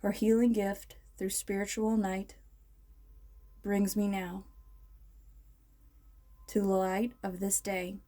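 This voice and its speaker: A woman leading a guided meditation in an ASMR style, speaking in a ceremonial, measured and soothing manner. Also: mid-range voice, level tone, dark tone.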